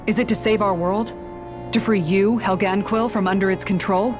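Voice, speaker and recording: A woman's voice: medium pitch, fairly even intonation, moderate pace, over music, natural voice. A woman playing an earnest, courteous, inquisitive young female warrior in a video game, polite and questioning. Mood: insistent. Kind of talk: video game cutscene acting.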